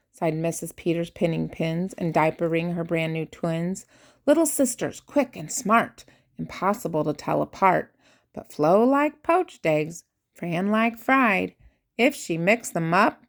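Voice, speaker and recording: Older woman, slightly low voice, grandmotherly storybook reader, rhyming verse, expressive emphasis. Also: vocal fry. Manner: theatrical emphasis, drawn-out key words, dropping to hushed asides. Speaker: grandmother reading a children's picture book aloud. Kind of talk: expressive rhyming storybook read-aloud for young children.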